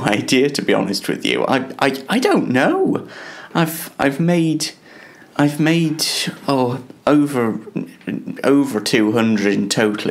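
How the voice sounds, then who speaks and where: A man chatting casually as a web show host, halting and self-deprecating as he talks. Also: mid-range voice. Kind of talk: casual self-interview chat.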